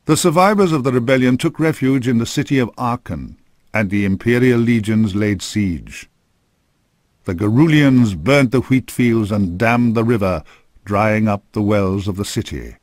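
A man's voice: lowish voice, lively intonation, normal speed, a little mellow, dry recording. A man narrating a fantasy game from a script in a solemn, formal storytelling manner, close on a studio microphone.

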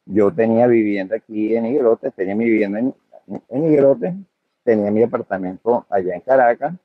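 An older hotel owner talks in a low, slightly husky voice, pausing and restarting as he answers. The sound is dry and dull, like a lo-fi recording. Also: dry recording. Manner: hesitant, full of fillers and restarts. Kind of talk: conversational interview answers.

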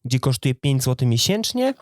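A man's voice: medium pitch, big pitch swings, normal speed, dry recording, studio quality. A man in his thirties co-hosting a podcast, explaining things conversationally with small hesitations in casual chat. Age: 30s. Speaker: podcast co-host.

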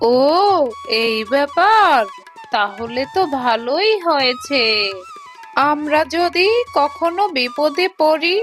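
A woman's voice: high voice, expressive, slow, fairly bright tone, full character voice. A woman voicing a greedy, suspicious tigress aunt in a children's cartoon, with an accusing, scheming manner. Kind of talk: Bengali children's cartoon dialogue. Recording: faint music behind the voice.

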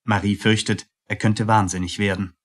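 A man's voice: lowish voice, little pitch movement, normal speed, slightly rough. A man reading scripted narration as a narrator in a radio drama.

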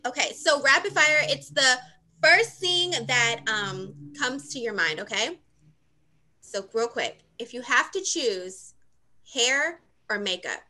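A woman's voice: on the high side, big pitch swings, slow pace, bright, forceful tone, rough recording. A woman in her thirties co-hosting a casual interview over a video call, reflective and encouraging. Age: 30s. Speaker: co-host.

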